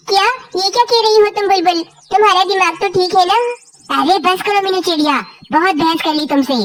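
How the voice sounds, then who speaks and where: A small cartoon forest bird for a children's moral tale. The voice is extremely high and childlike, with simple, exaggerated storybook delivery. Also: female voice, rough recording. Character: cartoon forest birds, scheming, envious and put-upon, squeaky and childlike.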